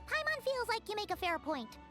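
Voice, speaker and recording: A tiny, chirpy fairy guide from a fantasy game. Her voice is very high and bright, bouncing up and down constantly. Game music plays softly behind her. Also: very animated, brisk, full character voice. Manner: refers to herself in the third person. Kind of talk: game voice acting.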